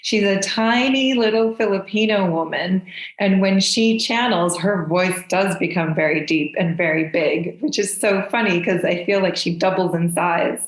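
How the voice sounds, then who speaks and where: A woman's voice: medium pitch, moderate pace, roomy sound, low-quality recording. A woman from the United States, a guest channeler, chatting in an effusive and enthusiastic way. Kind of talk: casual interview chat.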